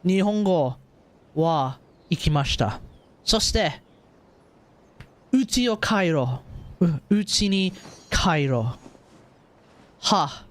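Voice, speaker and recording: A man's voice: high voice, animated, slow pace, long pauses. A man with an Australian accent chatting casually and ramblingly as a livestream host. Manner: rambling, mixes in Japanese phrases.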